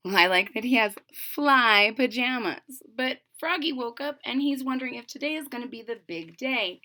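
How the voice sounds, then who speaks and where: A woman's voice: fairly high pitch, lively intonation, moderate pace, bright, forceful tone. A woman reading a children's storybook aloud, expressively, with explanatory asides to young listeners. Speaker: storybook reader.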